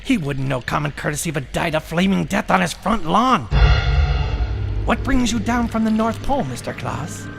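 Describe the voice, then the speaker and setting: Creaky, showy winter spirit, played by a man. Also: sits high, lively intonation, normal speed, heavily acted. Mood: genial, sardonic. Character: Snow Miser, polite, showy, sardonic winter spirit. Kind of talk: comic audio play acting. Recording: loud music behind.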